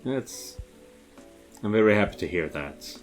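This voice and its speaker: Male streamer, very animated range, casual and rambling, music under the voice. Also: lowish voice, unhurried. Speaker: streamer playing and commenting on a visual novel. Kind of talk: casual let's play commentary.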